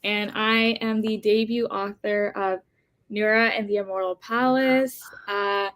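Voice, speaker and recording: A woman's voice: mid-range voice, a bit bright. A young woman, a debut author, casually introducing herself and her book on a panel. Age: young adult.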